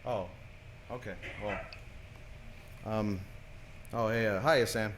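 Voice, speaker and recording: A man's voice: lowish voice, steady tone, takes it slowly, noisy background. An actor playing a restless, stubborn young small-town man, stammering and bursting out in a 1940s-style radio play. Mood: hesitant. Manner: stammering, hesitant, then bursting out.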